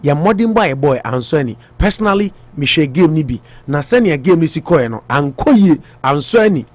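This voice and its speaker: Ghanaian man, radio host, fairly high voice, dry close studio sound, filler-heavy sports chat. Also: lively intonation, normal speed, no echo. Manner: mixes Twi and English, hesitant with fillers. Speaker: radio morning show host. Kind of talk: casual sports talk on radio.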